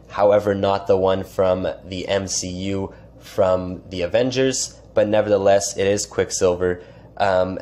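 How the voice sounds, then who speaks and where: A man's voice: on the low side, a little mellow, slight background noise. A man hosting a gaming channel, talking through game news in a casual, chatty way, his voice stuffed up from a cold. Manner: chatty, lots of restarts and filler phrases. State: congested from a cold.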